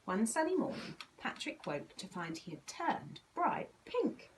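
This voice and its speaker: A woman reading a children's storybook aloud in an expressive, animated way, acting out the dialogue. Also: a little high, unhurried, distant mic, a little hiss or hum. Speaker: volunteer story reader.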